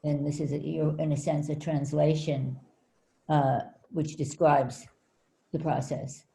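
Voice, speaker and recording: A woman interviewing an artist, asking halting, searching questions. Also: low voice, level tone, vocal fry, dark tone. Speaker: interviewer, editor at large. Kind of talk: conversational interview.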